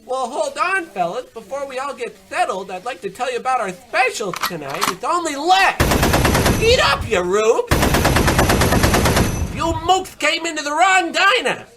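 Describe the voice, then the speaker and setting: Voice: high voice, sing-song, measured pace, background noise. An actor playing a swaggering, romantic tough-guy diner chef with hammy wise-guy bluster in an old-time radio comedy style. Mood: defiant.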